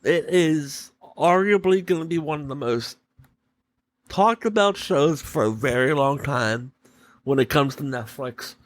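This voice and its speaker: A man hosting a solo podcast, giving opinion commentary in deliberate, halting short phrases. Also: a little high, no echo. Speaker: podcast host.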